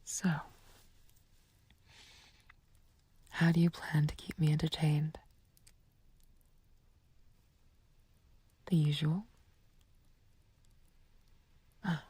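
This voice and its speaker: Woman acting as smug female villain, low mellow voice, breathy, teasing, condescending, flirtatious, unhurried, ASMR roleplay. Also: flat delivery. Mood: flirtatious, possessive. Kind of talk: ASMR roleplay audio drama.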